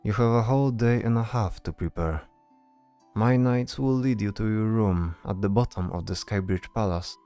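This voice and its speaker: A man's voice: on the low side, moderate pace, warm tone, smooth, faint music behind, natural voice. A man voicing a composed, commanding noble knight in a fantasy audio drama, speaking with formal authority.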